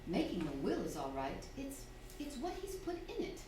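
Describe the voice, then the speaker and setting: An actress playing an educated, strong-willed young wife, genteel and emphatic, in a staged radio drama. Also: female voice, mid-range voice, huge pitch swings, normal speed, dark tone, far from the mic. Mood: indignant, confiding. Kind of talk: staged old-fashioned radio drama acting. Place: theatre hall, distant microphone. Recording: echoey, noisy stage recording from afar.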